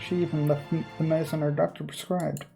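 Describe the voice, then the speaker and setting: A person reading visual novel narration aloud, breaking off for informal, halting commentary. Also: mid-range voice, level tone, vocal fry, warm tone, soft background music.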